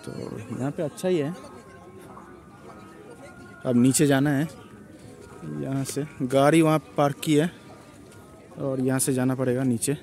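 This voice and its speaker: A man narrates a casual travel vlog, explaining things with halting pauses. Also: mid-range voice, steady tone, darkish tone, faint music behind. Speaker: travel vlogger. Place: outdoors at a tourist site and inside a car.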